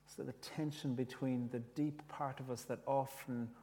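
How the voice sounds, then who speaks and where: A man with an Irish accent, whose first language is Irish, gently telling a reflective story with restarts and reading his own poetry. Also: mid-range voice, steady tone, normal speed, in a large hall. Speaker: speaker, poet.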